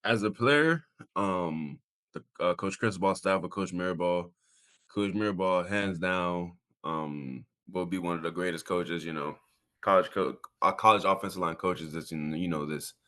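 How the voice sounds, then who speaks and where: Man, twenties, from Miami, deep voice, forceful edge, college athlete interviewee, casual, earnest, repetitive emphasis. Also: moderate pace. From Miami, Florida. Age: 20s. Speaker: college football player, interview guest.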